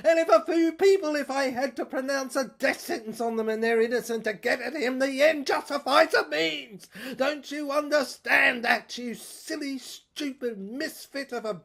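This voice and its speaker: A woman's voice: sits high, normal speed, gravelly, few pauses. A woman playing a ranting, scheming, power-mad brother and corrupt prosecutor in an audio drama, with a theatrical, manic, rambling delivery. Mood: scornful, exasperated. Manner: theatrical, manic rambling, swinging from shouting to muttering.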